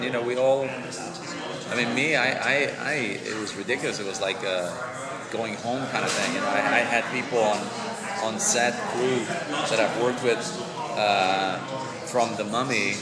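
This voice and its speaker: A man in his forties with a deep voice, chatting casually in a press interview with many restarts and fillers. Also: a little slow. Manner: relaxed, chatty, many restarts and fillers, gestures while talking. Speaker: actor being interviewed. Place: large convention press room. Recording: echoey hall with loud crowd. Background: loud crowd chatter.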